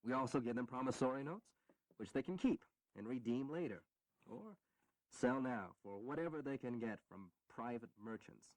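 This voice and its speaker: An actor playing a pragmatic young congressman who explains in a measured, reasoned way in a historical audio drama. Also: medium pitch, expressive, a little mellow, dry recording, close to an everyday voice, low-quality recording. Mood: resigned, reasoning.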